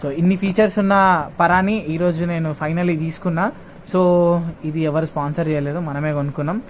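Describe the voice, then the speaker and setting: A man with a distinctly high voice and a Telangana accent talks in a casual vlogging style. He speaks informally, slipping English words into his sentences. A faint layer of noise sits behind his voice. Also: fairly even intonation, moderate pace. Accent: Telangana Telugu. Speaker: motovlogger. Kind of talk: casual unboxing vlog chat.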